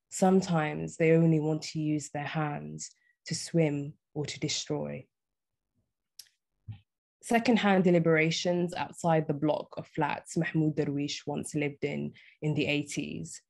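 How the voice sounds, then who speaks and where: A young woman poet reading her poems aloud in a measured, deliberate way. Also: sits low, vocal fry, a little mellow. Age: young adult. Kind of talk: poetry reading. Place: small indoor room, on a video call.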